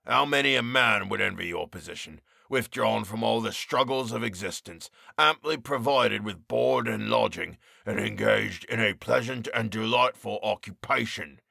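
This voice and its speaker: A man doing a croaking menacing jailer. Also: fairly low pitch, pushed and bright, no room sound, clean studio sound, full character voice. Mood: jeering. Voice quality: croaking, harsh. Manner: slow, jeering.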